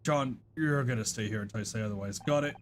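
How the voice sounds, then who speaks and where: A performer voicing a gruff, impatient older male police detective in a video game, curt and commanding. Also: medium pitch, fast, a little hiss or hum, natural voice. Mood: weary, gruff.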